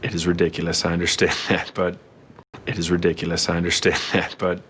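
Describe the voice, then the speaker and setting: A man's voice: low voice, monotone, a little fast, fairly bright tone. An actor playing a man in a film or TV scene. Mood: amused. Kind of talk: film or TV acting. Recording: film clip with faint noise.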